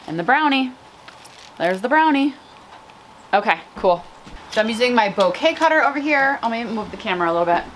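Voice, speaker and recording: Expressive, gushing woman chatting on a porch. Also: fairly high pitch, fairly quick, long pauses, slight background noise, poor audio. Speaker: flower farmer and vlog host. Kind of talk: casual chatty vlog to camera. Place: outdoors on a covered house porch.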